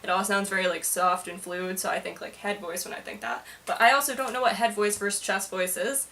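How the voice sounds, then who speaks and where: A woman's voice: mid-range voice, fairly quick, sharp tone, slight background noise. Hesitant young woman, distant-mic vlog. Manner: hesitant, self-deprecating, informal. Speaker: vlogger. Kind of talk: casual confessional talk to camera.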